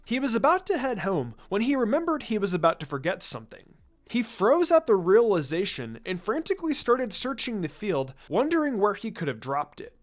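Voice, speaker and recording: Guy narrating fanfic, kinda high voice, really animated up and down delivery. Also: fairly quick, soft background music. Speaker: fan fiction reader, narrator. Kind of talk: expressive scripted story narration.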